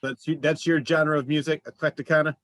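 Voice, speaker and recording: A man's voice: on the high side, flat delivery, a little fast, fairly bright tone, dry recording. A man hosting a local music talk show, chatting enthusiastically with his guest. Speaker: show host. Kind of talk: casual interview chat.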